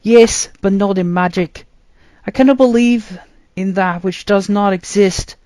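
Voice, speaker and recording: A man's voice: high voice, a little slow, darkish tone, dry recording, studio quality, pitched above the performer's own voice. A man voicing an anguished young farm lad, emphatic and imploring, in a storybook reading. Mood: pleading.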